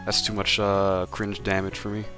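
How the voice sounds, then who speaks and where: A man's voice: on the low side, completely flat delivery, moderate pace, music in the background. A young man drawing live on stream, chatting casually with viewers, stammering and repeating words, laughing often.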